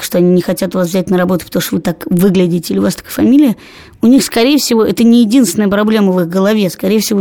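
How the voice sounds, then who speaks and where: A woman's voice: mid-range voice, mellow. A woman co-hosting an advice podcast, talking in a thoughtful, frank way. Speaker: podcast co-host. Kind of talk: casual discussion.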